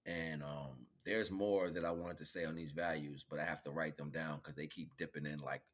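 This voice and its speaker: A man's voice: extremely low pitch, steady tone, moderate pace. A man giving a casual, rambling motivational monologue, thinking aloud in a loose, repetitive way. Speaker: solo spiritual commentator.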